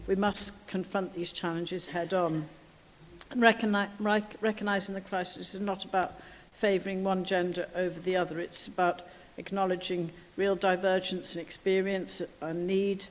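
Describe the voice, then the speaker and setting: A woman giving a formal, earnest speech on behalf of government. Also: on the low side. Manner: earnest, measured, some restarts. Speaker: government speaker. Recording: long hall reverb.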